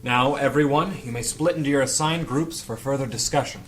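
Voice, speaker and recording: A man's voice: medium pitch, a little fast, fairly bright tone, a little hiss or hum, close to an everyday voice. A man playing an earnest, solemn leader of a secret political movement, speaking like an orator in an audio drama read-through. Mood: composed, formal.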